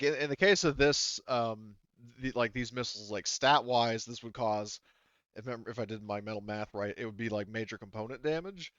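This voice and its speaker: A man's voice: on the low side, a bit bright, no room sound, muffled recording. A man acting as game master, narrating a tabletop roleplaying game in a casual, hesitant way.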